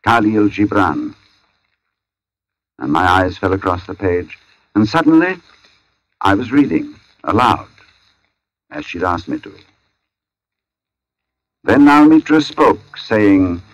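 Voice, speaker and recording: An actor playing a grave, measured artist who narrates in the first person in an old radio drama. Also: male voice, fairly low pitch. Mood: puzzled, measured. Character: artist recounting an uncanny encounter, grave and measured. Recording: dull old radio recording, music behind.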